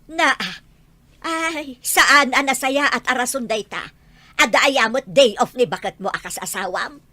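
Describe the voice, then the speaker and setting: A woman's voice: high voice, unhurried, bright, forceful tone, no echo, exaggerated voice. A woman acting a reproachful, protective mother of a grown son in an Ilocano audio drama, with an Ilocano accent. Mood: scolding.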